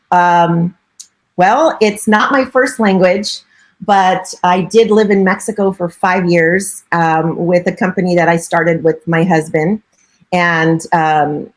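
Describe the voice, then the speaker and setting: Chatty middle-aged woman broker. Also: fairly low pitch. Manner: chatty and earnest, with frequent filler phrases. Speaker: real estate broker and coach. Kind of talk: casual interview answers.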